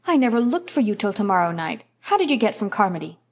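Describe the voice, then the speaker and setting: A woman's voice: medium pitch, sing-song, quick pace. A woman voicing a reserved, stern woman of sixty with a dry manner. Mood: surprised.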